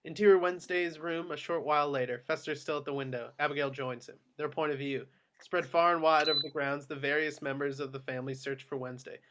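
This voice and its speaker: A man narrates a screenplay at a table read. His voice sits a bit higher than average. He reads out scene headings and action descriptions as scripted narration. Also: fairly even intonation, fast, noisy background.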